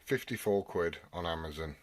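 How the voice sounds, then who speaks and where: A man's voice: deep voice, normal speed, noisy background. A British man chatting casually and unscripted as a hobbyist vlogger, rambling and blunt in his way of talking.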